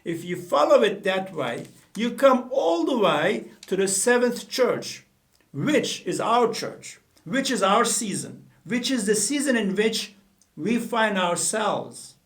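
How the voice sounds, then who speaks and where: A man's voice: sits high, sing-song, slow pace, a bit bright, recorded from a distance. A middle-aged man from Turkey with a Turkish accent, teaching the Bible earnestly to the camera from a small studio room, often repeating and correcting himself. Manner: earnest, gestures with both hands, repeats and self-corrects.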